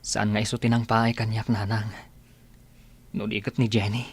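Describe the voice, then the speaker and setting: A man's voice: lowish voice, flat delivery, normal speed, husky. A man playing a meek, lovesick young village man in a comedy radio play. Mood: moping. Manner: meek, pleading.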